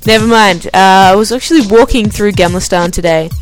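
A woman's voice: medium pitch, a little fast, faint music behind. An Australian woman hosting her own podcast, chatting in a self-deprecating way with filler words before reading a novel aloud. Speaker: podcast host. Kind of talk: casual chat, then reading a novel aloud.

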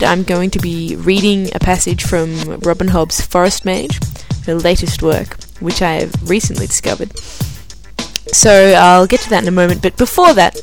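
A woman's voice: fairly low pitch, gravelly. Chatty Australian woman podcaster. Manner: chatty and self-deprecating, with filler words. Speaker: podcast host. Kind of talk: casual chat, then reading a novel aloud.

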